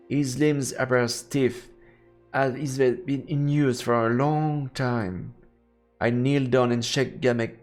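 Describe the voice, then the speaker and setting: A man doing a let's play, reading game narration aloud and reacting casually as he goes. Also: medium pitch.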